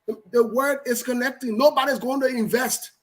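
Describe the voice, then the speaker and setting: A man's voice: very high voice, steady tone, moderate pace, a bit bright. A man, hosting a political discussion and pressing his points emphatically, often repeating words for stress. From Liberia.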